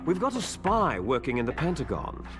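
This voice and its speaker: Game villain: bitter soldier twin, smug menace, higher-set male voice with dramatic swings, music behind. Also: wildly swooping pitch. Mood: coolly explaining. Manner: theatrical, smug, drawn-out menacing delivery. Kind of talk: dramatic video game cutscene acting.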